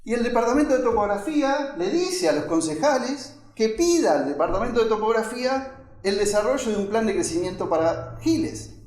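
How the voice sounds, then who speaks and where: A man's voice: high voice, very animated, a little fast, a little mellow. A man giving an informal lecture in conversational, fragmented phrases, often checking in with listeners. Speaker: architect giving a talk. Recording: echoey room.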